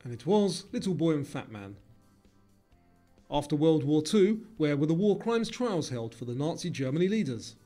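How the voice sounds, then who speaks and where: A quiz narrator, a man with a slightly high and very mellow voice. He reads scripted questions in a measured, formal manner over faint music. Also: big pitch swings.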